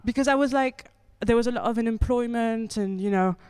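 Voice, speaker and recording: A woman's voice: a little high, fairly quick, darkish tone, clean tone, no echo. A young woman from France performing stand-up comedy with a wry, chatty delivery that keeps checking in with the audience. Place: comedy club stage.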